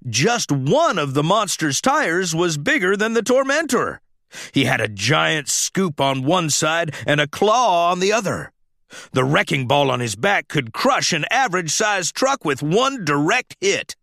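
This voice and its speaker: A man reading a children's story aloud as a scripted, animated narration. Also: a little high, a little slow, creaky, no echo, studio quality.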